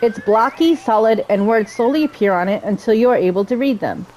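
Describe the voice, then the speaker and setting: A woman's voice: fairly high pitch, a little fast, a little mellow, slight background noise, low-quality recording. A woman hosting a gaming playthrough, commenting casually and reading game text aloud. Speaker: gaming video host.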